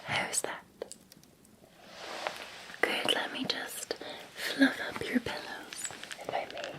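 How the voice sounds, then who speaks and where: A Scottish woman playing a gentle, attentive Victorian housemaid in an ASMR roleplay, in a soft, breathy, soothing whisper with polite deference. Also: slow, lightly acted, low-quality recording. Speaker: ASMR performer.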